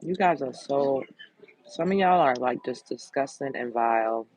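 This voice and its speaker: A woman hosting a casual livestream chat, reading out comments in a candid, blunt way, with a deep, sultry voice. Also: animated, a little slow, a little mellow, low-quality recording. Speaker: host.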